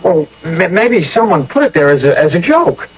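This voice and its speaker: A man acting a scared, evasive young common soldier in a radio drama, husky, stammering and folksy. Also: a little high, big pitch swings, normal speed. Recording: muffled old radio broadcast.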